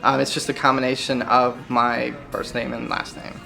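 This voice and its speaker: A man's voice: medium pitch, completely flat delivery, normal speed, vocal fry, sharp tone, soft background music. A man from Chicago answering interview questions, hesitant with many ums and uhs. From Chicago, Illinois. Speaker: site volunteer.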